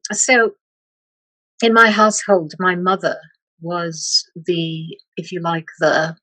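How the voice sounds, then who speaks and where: Slow, hesitant woman, dry sound. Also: lowish voice, fairly bright tone, no room sound, poor audio. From Malaysia. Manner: slow, hesitant, many pauses and restarts. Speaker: meditation teacher, guest. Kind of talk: reflective interview talk.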